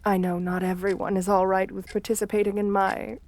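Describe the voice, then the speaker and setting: A woman's voice: medium pitch, flat delivery, brisk, a little mellow, lightly acted. A woman playing a seductive, assertive countess in an intimate ASMR roleplay, speaking in a genteel, soothing, flirtatious way. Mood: genteel, coaxing.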